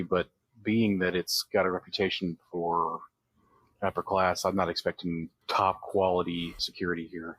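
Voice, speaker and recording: A man's voice: low voice, a bit bright, husky, no room sound. A man playing a tabletop game, laying out his thinking in a matter-of-fact way. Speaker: player.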